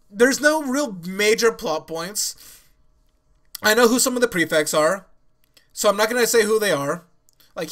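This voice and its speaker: A young man hosts his reaction video from a small bedroom, speaking into a headset. His voice sits high and bright, though a stuffy nose and sore throat muffle it. He rambles casually, laughing and restarting his sentences. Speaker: anime reaction host. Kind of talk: casual chat to camera. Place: small bedroom with yellow walls.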